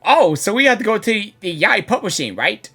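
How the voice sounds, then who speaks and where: A woman's voice: medium pitch, big pitch swings, moderate pace, fairly bright tone, soft background music. A woman streamer giving casual reaction commentary to a game, musing and wondering aloud as she goes.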